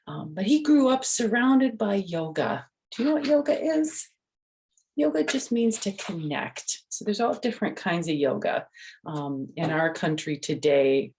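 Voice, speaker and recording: An older woman reading a picture book aloud to children, gently explaining with chatty asides and questions to the listener. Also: deep voice. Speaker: storyteller and host.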